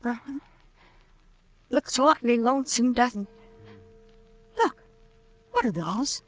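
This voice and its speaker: A nervous, clinging young woman in a vintage radio chiller. Her voice is a little husky, with an anxious, pleading edge. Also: fairly high pitch, animated, slow pace, faint music behind. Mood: frightened. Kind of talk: old-time radio horror drama acting. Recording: vintage radio drama recording.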